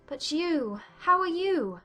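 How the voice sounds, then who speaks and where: A woman playing an earnest, thoughtful young Regency gentlewoman in a dramatized audiobook, speaking in measured, formal phrases. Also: very high-pitched, sing-song, faint music behind, full character voice. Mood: concerned.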